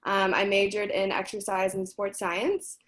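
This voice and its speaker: A woman's voice: medium pitch, completely flat delivery, a little slow, a bit bright. Friendly young woman from Georgia. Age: 20s. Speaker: service corps volunteer.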